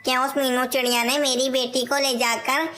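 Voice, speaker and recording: A woman's voice: high voice, level tone, normal speed, sharp tone. A woman voicing an anxious, indignant father parrot who rules as king in a cartoon story. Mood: angry, hurt. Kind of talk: cartoon moral-story dialogue.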